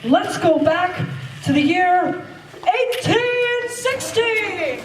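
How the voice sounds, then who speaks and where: A very high voice in an echoey space, a woman playing an eccentric, eager scientist from the fifties. The delivery is slow, theatrical and exclamatory, as in an amateur radio play, with music behind. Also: expressive, background noise, heavily acted. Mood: puzzled, amazed. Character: eccentric time-travelling scientist from 1956, puzzled, eager. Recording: echoey stage, distant mic, music behind.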